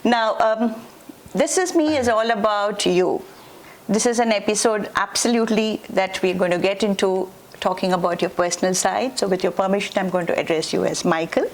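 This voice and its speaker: A woman hosting a television interview show, full of quick, effusive affirmations. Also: mid-range voice. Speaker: talk show host.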